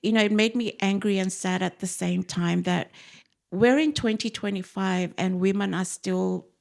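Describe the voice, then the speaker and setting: Gravelly middle-aged woman. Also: mid-range voice, steady tone, normal speed. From born in Fiji, raised in Australia. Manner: earnest, frank, speaks in loose run-on phrases. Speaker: podcast guest. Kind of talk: candid interview conversation.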